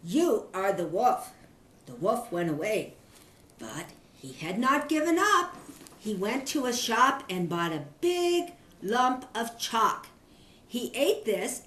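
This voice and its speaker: An older woman, a grandmother, reading a children's story aloud in an expressive storytelling manner. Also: on the high side. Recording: echoey room.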